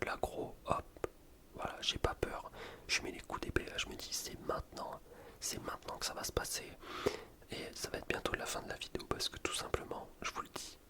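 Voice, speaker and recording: Whispering man doing ASMR game commentary. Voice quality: breathy unvoiced whisper. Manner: casual, slangy, self-deprecating. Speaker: gaming ASMR video host.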